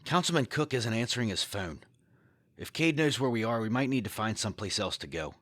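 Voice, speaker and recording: A man playing a sullen, hot-headed gang leader with wounded pride in an audio drama, his voice gruff. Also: fairly low pitch, lively intonation, a little fast, fairly bright tone, no room sound, clean studio sound. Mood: irritated.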